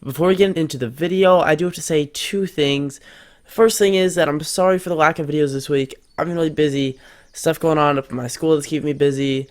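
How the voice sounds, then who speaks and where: A man's voice: fairly high pitch, a little fast. A teenage boy hosting a casual game tutorial, chatty and thinking aloud as he builds, his nose a little stuffed up. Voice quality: slightly congested. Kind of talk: casual screen-recorded tutorial.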